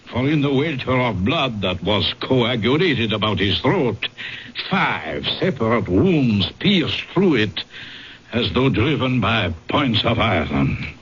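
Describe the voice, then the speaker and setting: An actor playing a shaken veteran physician, older, speaking with grave, halting, ominous emphasis in a radio drama. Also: male voice, mid-range voice. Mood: horrified, pondering. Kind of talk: period radio drama acting. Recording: dull old radio recording, faint noise.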